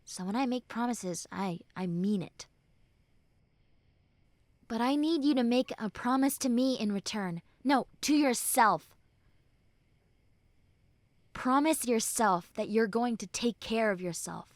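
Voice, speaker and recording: A woman playing a normally mean young tsundere who turns tender and caring, speaking haltingly and earnestly to the listener in an intimate roleplay. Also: a little high, no echo. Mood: tender, reassuring. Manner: halting, bashful, earnest, soft and breathy when consoling. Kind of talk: intimate roleplay audio drama, spoken to the listener.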